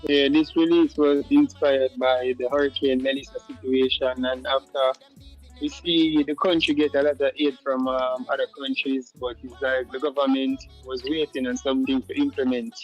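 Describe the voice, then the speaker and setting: A Jamaican man answering interview questions in an easygoing way, with lots of fillers and restarts and patois shout-outs. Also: medium pitch, little pitch movement, normal speed, muffled recording, faint music behind. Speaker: musician guest.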